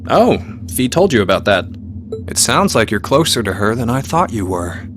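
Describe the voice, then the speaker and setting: Lively, roguish mercenary played by a man. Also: mid-range voice, moderate pace, lightly acted. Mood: guarded. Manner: casual, slangy, playful. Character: breezy, teasing adult male mercenary, roguish and sentimental. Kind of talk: video game voice acting. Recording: music behind the voice.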